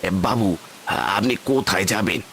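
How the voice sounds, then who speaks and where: A man's voice: on the low side, moderate pace, bright, forceful tone, slight background noise. A man voicing a humble, earnest poor village porter in a cartoon moral tale, deferential and pleading.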